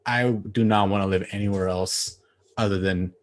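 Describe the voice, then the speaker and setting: Low-ish voiced guy, late 30s, from bangladesh, easygoing podcast guest on a video call, lots of likes. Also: moderate pace. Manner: relaxed, laughing, lots of fillers. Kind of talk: casual interview chat.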